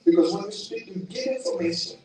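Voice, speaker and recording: Man, thoughtful testimonial, warm low tone, picked up from a distance in a boomy room. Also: steady tone, brisk. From Haiti. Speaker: program participant. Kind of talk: testimonial interview. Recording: very echoey room, distant mic.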